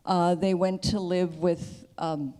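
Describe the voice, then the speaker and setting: Woman audience member, informal questioner with a soft-edged dark tone and lowish pitch. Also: steady tone, slow. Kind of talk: informal spoken question and comment.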